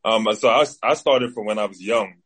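A man's voice: on the low side, moderate pace, a bit bright, husky. A man in his twenties from the Bahamas with a Bahamian accent, an athlete giving casual interview answers as a guest. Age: 20s. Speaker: interview guest, football player.

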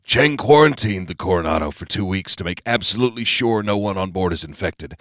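A man voicing a blunt male presidential adviser, speaking low and confiding. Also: expressive, a little fast, a bit bright, no echo, studio quality.

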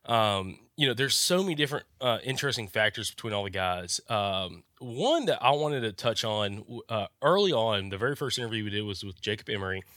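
Man, podcast co-host, stuffy nasal voice from a cold, quick bright delivery, dry close sound. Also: fairly low pitch, lively intonation, no echo. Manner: rambling, many fillers and restarts. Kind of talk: casual podcast chat.

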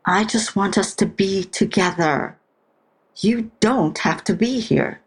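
Husky, slow dying older mother. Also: mid-range voice. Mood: weary, resigned. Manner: blunt, deliberate. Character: dying, estranged older mother, blunt and stubborn. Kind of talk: audio drama acting.

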